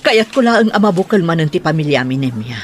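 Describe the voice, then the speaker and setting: A woman's animated voice with an Ilocano Filipino accent, swinging widely in pitch. An actress plays a stubborn schemer in an audio drama. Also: brisk. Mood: defiant, scheming. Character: determined, scheming mother set on winning back her family.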